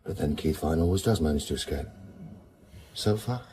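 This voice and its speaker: An actor playing a calm, world-weary widowed detective in a dry, clipped, understated way for radio drama. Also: male voice, extremely low pitch, moderate pace, noisy background. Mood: calm, persuasive. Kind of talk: naturalistic radio drama acting.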